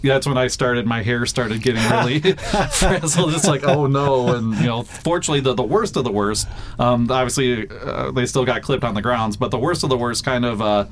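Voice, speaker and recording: A man working as a weather forecaster, chatting casually in a radio interview, full of fillers and quick laughs. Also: medium pitch, crowd noise.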